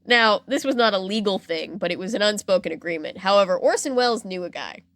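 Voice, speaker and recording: A woman's voice: medium pitch, lively intonation, quick pace, fairly bright tone, no echo, clean studio sound. A woman hosting a podcast, telling a story in a playful, informal, conversational way with casual asides. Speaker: podcast host.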